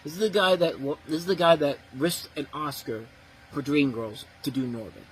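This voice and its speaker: A man's voice: on the high side, moderate pace, a little hiss or hum, low-quality recording. A man giving a blunt, profane, exasperated movie review, ranting about what went wrong. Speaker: film reviewer.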